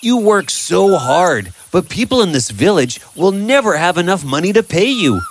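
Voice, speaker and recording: Man voicing an insistent, animated village friend. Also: high-pitched, normal speed, slight background noise, pitched above the performer's own voice. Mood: concerned, insistent. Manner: insistent, advising. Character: concerned, persuasive male village friend.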